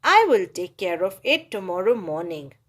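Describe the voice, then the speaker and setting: A woman voicing a loving old grandmother in a children's story, speaking in a gentle, reassuring way. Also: medium pitch, big pitch swings, normal speed, pitched below the performer's own voice.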